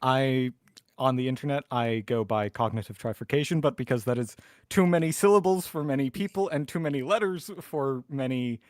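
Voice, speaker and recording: A man's voice: mid-range voice, normal speed, no echo, studio quality. A man introducing himself casually as a tabletop game player, with wordy, precise phrasing.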